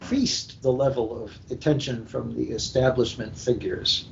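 A man's voice: moderate pace, gravelly. Older man on a dull, noisy recording. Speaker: book editor, podcast guest. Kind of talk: panel discussion on a live podcast. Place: hotel at a convention. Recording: dull, noisy internet-call recording with rumble.

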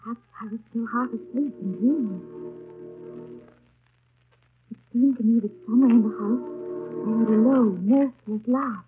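Young woman governess, played by an actress, earnest and formal, measured literary delivery, thin vintage radio sound with music. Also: a little high, lightly acted. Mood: hushed storytelling. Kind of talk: 1930s radio drama acting and narration. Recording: tinny, band-limited 1930s radio broadcast.

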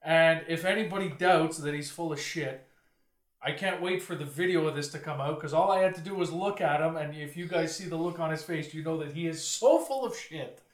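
A man's voice: a little high, fairly quick, roomy sound. A man hosting a casual podcast, telling stories and posing questions in a teasing, emphatic way. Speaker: podcast host asking questions. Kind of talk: casual banter and storytelling.

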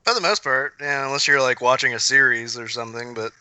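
Male, conversational podcast talker, sharp bright tone, dry close sound. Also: medium pitch, fast talker, clean tone, dry recording. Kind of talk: casual chat.

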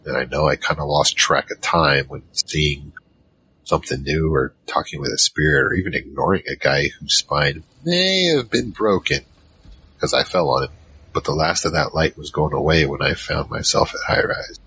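A man's voice: a bass voice, animated, normal speed, sharp tone, a little hiss or hum, heavily acted. A man playing a battle-worn gunfighter who narrates his own story in an audio drama, terse and world-weary. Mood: somber. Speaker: narrator and lead character. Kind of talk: first-person noir-like audio drama narration. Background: music.

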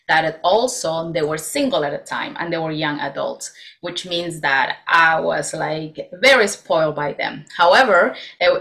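Lady, lowish voice, rambling chatty stories. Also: a bit bright. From Peru. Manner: chatty, storytelling with small restarts. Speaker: podcast guest. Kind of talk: casual interview chat.

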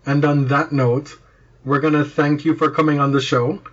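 A man's voice: fairly high pitch, flat delivery, normal speed, fairly bright tone, dull audio. A man hosting a podcast, chatting casually about sport in an informal, opinionated way. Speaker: podcast host.

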